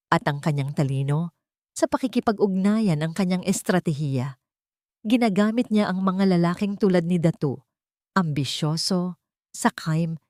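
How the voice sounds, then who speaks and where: Female narrator, lower register, dry close sound, scripted dramatic storytelling. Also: darkish tone, no echo.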